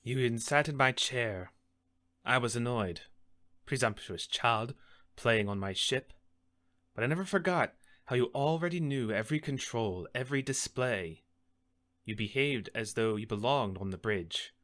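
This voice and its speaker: A man performing a science-fiction monologue as a stern, principled starship captain, measured, grave and authoritative in his disappointment. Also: medium pitch, a bit bright, pitched below the performer's own voice, lightly acted. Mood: quiet disappointment.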